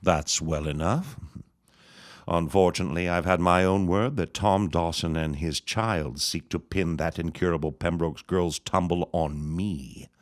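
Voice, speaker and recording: Pompous, deep-voiced villainous old reverend. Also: male voice, fairly even intonation, unhurried, no echo, clean studio sound. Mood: cold, menacing. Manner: pompous, florid, theatrical, sneering. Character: scheming, vengeful elder reverend and landowner, grandiose villain. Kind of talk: period radio drama acting.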